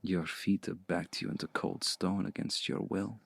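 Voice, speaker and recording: Man acting as seductive possessive vampire, deep, husky, creaky, slow deliberate delivery, hushed intimate ASMR. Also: flat delivery, no echo, heavily acted. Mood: menacing, hypnotic. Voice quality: low, gravelly, breathy. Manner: slow, deliberate, hushed, often breathy near-whisper. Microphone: close mic, dry.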